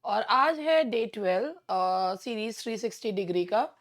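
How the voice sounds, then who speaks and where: An Indian woman with a hoarse, sore throat teaching an online English exam-prep lesson in a chatty way, often clearing her throat. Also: medium pitch, lively intonation, a little slow.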